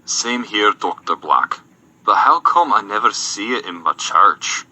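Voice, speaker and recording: A man's voice: lowish voice, sing-song, unhurried, pushed and bright, heavily acted, rough recording. A man playing a benevolent, pious reverend in an amateur audio drama, with a folksy, grateful manner. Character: benevolent pious male reverend, secretly a flirt.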